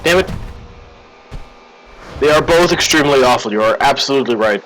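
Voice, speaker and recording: A man's voice: medium pitch, animated, brisk, a bit bright, faint music behind, low-quality recording. A man casually commenting on a game stream, joking and teasing his chat in a sarcastic tone. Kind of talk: casual live-stream commentary while playing.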